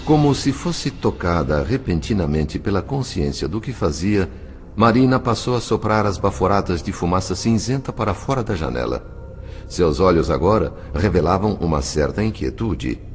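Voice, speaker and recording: An actor playing a compassionate spirit chronicler in a dramatized audiobook, narrating in an expressive, measured way. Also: male voice, low voice, slight background noise. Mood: reflective. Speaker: narrator and character.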